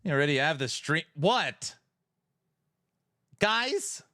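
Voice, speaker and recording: Man doing a squeaky whining helper, slow and drawn out, bright and dry. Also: very animated, dry recording, exaggerated voice. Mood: whiny. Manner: whining, pleading.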